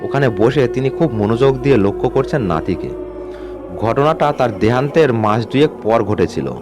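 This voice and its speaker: A man narrating a scripted ghost story in a measured, suspenseful way. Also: mid-range voice, expressive. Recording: music bed under the voice. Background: background music.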